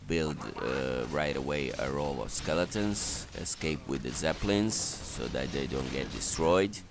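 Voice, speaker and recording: Super deep slow guy walking thru game strategy, halting and matter of fact, noisy recording. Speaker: game walkthrough commentator. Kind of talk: unscripted video game strategy commentary.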